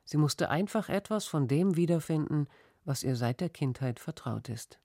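Brief: documentary narrator, mellow tone, dry close sound, measured delivery. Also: medium pitch, dry recording. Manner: even, measured reading.

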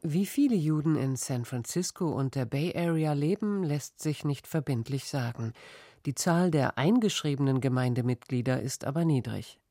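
A narrator reading a documentary script in an even, measured way. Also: medium pitch, no room sound, studio quality.

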